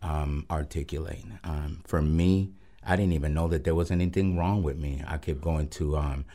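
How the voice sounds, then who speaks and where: A man from Rico, a Spanish speaker, giving a halting, reflective personal testimony as a guest pastor on a radio show. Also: rumbling low, steady tone, warm tone. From Puerto Rico. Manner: halting and reflective, with frequent pauses.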